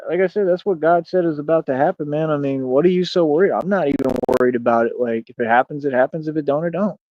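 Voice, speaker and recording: A man's voice: fairly high pitch, fast, dry recording, highs cut off. A man in his thirties rambling casually on a livestream in a boastful, defiant way, with slangy talk full of "man" and "bro". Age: 30s. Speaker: streamer.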